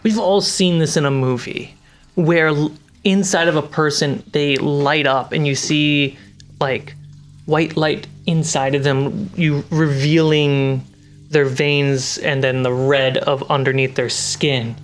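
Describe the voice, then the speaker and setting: A game master narrating a tabletop fantasy game in a measured, dramatic storyteller's way. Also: mid-range voice, steady tone. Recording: music behind the voice. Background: background music score.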